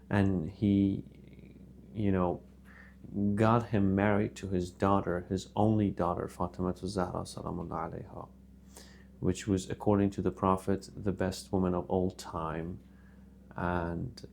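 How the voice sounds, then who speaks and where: A man with a lowish, gravelly voice gives a religious talk in an earnest, unhurried way. He pauses often and adds reverent honorifics after sacred names. A faint hum sits under the recording. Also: a little mellow. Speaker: religious video host. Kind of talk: casual religious monologue to camera.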